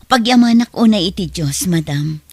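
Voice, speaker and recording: A woman's voice: medium pitch, expressive, moderate pace, darkish tone, no room sound. A woman playing a humble, hardworking middle-aged mother in an Ilocano audio drama, with an Ilocano accent. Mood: humble, grateful.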